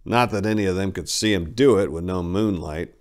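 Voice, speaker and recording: A man's voice: deep voice, a little fast, darkish tone. A man narrating war fiction as a dramatic story reading.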